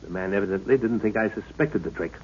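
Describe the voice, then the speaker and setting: A man playing a resolute older professor and father in an old radio adventure serial, speaking gravely and urgently as he explains. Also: lowish voice, fast talker, darkish tone. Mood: grave, explaining. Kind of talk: 1930s radio serial drama acting. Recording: muffled, noisy old radio recording.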